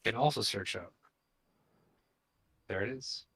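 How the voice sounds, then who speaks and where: A man's voice: on the low side, unhurried, gravelly, a bit bright, slightly rough. A man co-hosting a livestream, explaining technical points in casual, conversational talk. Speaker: co-host of a crypto show.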